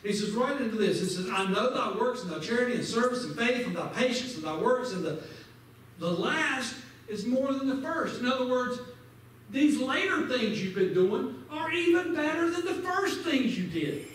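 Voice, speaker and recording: A man's voice: very high voice, lively intonation, normal speed, fairly bright tone. A man teaching a Sunday school lesson, fervently quoting scripture and urging his listeners directly, in a large church sanctuary. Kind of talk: preaching Bible lesson. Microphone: far camera at back of pews. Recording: echoey sanctuary from far off, faint noise.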